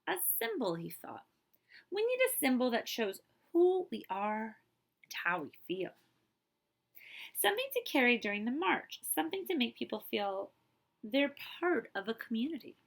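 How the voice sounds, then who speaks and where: Woman reading aloud with a creaky voice. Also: fairly high pitch. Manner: expressive, emphatic phrasing with deliberate pauses. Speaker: librarian reading a picture book aloud. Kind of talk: children's read-aloud storytelling with casual asides.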